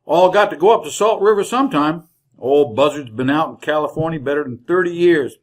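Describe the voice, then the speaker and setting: An actor playing a chatty, folksy older wagon driver with a rural Western American drawl in an old-time radio Western. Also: male voice, on the high side, brisk, natural voice. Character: garrulous leathery old frontier wagon driver, folksy and cheerful.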